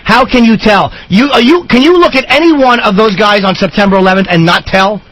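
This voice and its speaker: A man's voice: high voice, brisk, a bit bright, no room sound, poor audio. A man co-hosting a radio talk show, arguing insistently with callers and pushing to finish his points. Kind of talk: heated radio debate with callers.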